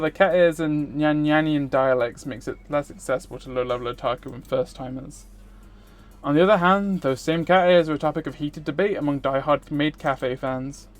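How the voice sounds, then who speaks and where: A streamer reading a visual novel aloud, with casual commentary. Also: mid-range voice. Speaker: livestreamer. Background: music.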